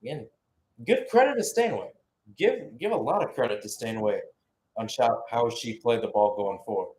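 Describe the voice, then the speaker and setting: A man's voice: medium pitch, animated, normal speed, darkish tone, rough recording. A man giving live radio-style football commentary, switching between short clipped calls and conversational, analytical asides.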